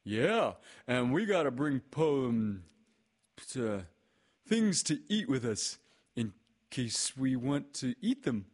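A man voicing a naive, cheerful toy bear with a husky voice and an eager, muddled manner in a children's storybook. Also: medium pitch, big pitch swings, slow pace, pitched above the performer's own voice.

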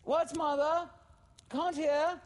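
A man voicing an excitable, gushing, well-to-do teenage girl in a storybook reading, breathless and eager. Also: very high-pitched, takes it slowly, long reverb tail, voice pushed up. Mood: calling out.

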